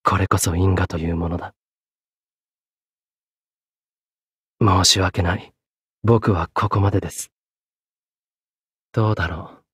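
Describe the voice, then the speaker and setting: An actor playing a solemn, courteous young executioner in a Japanese anime game, speaking in a polite, measured, grave way. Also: male voice, deep voice, fairly even intonation, breathy edge, dry recording, clean studio sound. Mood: resigned, penitent. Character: solemn, courteous young executioner, a guilt-burdened French swordsman.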